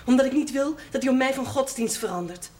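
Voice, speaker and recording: A woman's voice: sits high, quick pace, darkish tone, a little hiss or hum, natural voice. An actress playing a resolute, earnest village woman in a television period drama, speaking solemnly and directly in short statements. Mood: resolute, sad. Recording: distant microphone, music behind, 1970s television.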